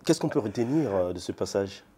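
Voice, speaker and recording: A man's voice: lowish voice, expressive, brisk, dark tone, slightly rough. A man hosting an interview, asking questions with frequent hesitations and murmured acknowledgements. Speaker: interviewer host.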